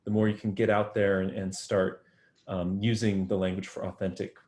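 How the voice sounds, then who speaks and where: Low-pitched male academic, thoughtful, hesitant delivery with frequent fillers. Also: flat delivery, moderate pace, darkish tone. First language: English. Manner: thoughtful, many ums and restarts. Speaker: guest researcher. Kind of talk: academic interview answers.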